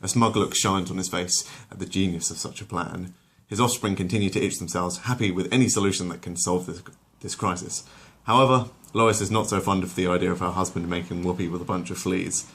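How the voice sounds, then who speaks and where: A man's voice: deep voice, steady tone, a little fast, hardly any pauses, a bit bright, husky. A man narrating a story as its host, dramatic in the telling and casual in his asides.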